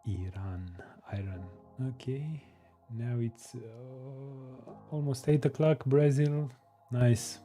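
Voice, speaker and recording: A man hosting a live stream, chatting casually with viewers, hesitant with many pauses and fillers. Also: mid-range voice, fairly even intonation, dark tone. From Romania. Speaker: live-streaming coding host. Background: faint music.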